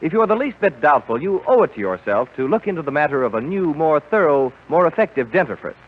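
A man's voice: a little high, sing-song, quick pace. A man hosting and announcing in the style of 1930s radio. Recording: muffled vintage radio recording.

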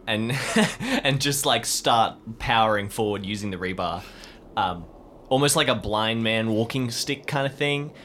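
A man's voice: fairly low pitch, expressive, measured pace, fairly bright tone. A man chatting casually as a player in an improvised role-playing game, with a dry, wry manner. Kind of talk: casual tabletop role-play chat. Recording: faint background noise and ambience.

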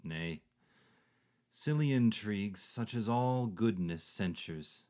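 A performer playing a hungry, ragged young man who speaks low and falteringly from exhaustion. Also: animated, takes it slowly, dark tone. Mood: weary.

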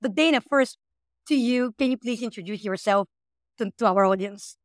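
A woman's voice: on the high side, moderate pace, no echo, studio quality. A woman from Bulgaria hosting a podcast and interviewing a guest in an upbeat way, sometimes repeating words as she goes. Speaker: podcast host.

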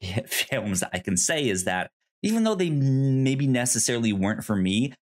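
A man co-hosting a podcast in casual film discussion, halting and repeating words as he thinks aloud. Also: medium pitch, lively intonation. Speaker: podcast co-host.

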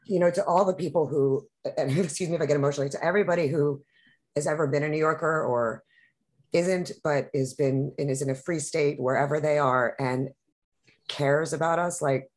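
A woman's voice: low voice, steady tone, a little fast, darkish tone. A woman from New York, a podcast guest, talking in an impassioned, rambling way with many restarts. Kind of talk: emotional political conversation.

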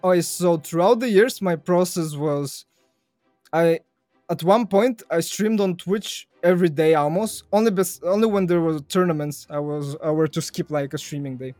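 A man's voice: on the high side, moderate pace, darkish tone, no room sound, faint music behind. Young man. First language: Bulgarian. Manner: chatty, laughs easily, repeats phrases. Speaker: guest. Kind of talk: casual podcast interview.

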